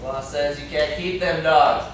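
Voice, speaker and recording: A man's voice: on the high side, steady tone, a little slow, lightly acted. A young man acting in an amateur stage play as a defiant, cocky backwoods dog-fight promoter who argues brashly. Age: young adult. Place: classroom, indoors. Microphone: far camera. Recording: echoey classroom, distant camera mic, noisy.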